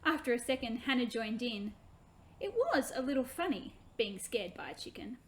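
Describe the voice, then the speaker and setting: A woman reading her own children's book aloud in an expressive, animated way. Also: on the high side, moderate pace.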